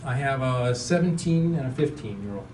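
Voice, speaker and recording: A man's voice: mid-range voice, animated, normal speed, darkish tone. Chatty man in his fifties. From Maryland, United States. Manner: chatty, self-deprecating. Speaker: class member. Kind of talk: casual conversation. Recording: echoey room, distant mic, noise.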